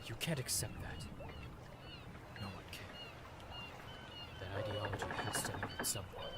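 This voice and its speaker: A man's voice: lowish voice, wildly swooping pitch, moderate pace, very noisy. A man playing a protective, earnest vigilante mentor in an audio drama. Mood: urgent, sincere.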